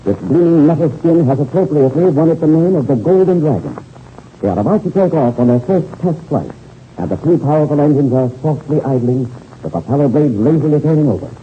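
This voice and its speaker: A man's voice: fairly high pitch, lively intonation, a little fast. A man narrating a 1930s radio adventure serial as announcer in a dramatic, declamatory style. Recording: scratchy, tinny old-time radio recording, noisy.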